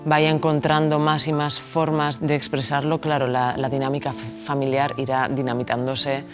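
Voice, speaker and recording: A woman's voice: a bass voice, little pitch movement, normal speed, music in the background. A woman film director from the Basque Country with a Basque Spanish accent, answering interview questions in a thoughtful, hesitant way and often repeating words as she searches for them. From Basque Country, Spain.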